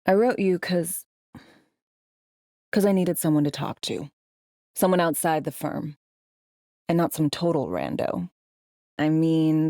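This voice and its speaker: Low-voiced, dry female secret agent. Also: mellow, dry recording. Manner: clipped phrasing, dry, casually profane. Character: brisk, sardonic, foul-mouthed veteran female secret agent. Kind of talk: video game dialogue, natural conversational acting.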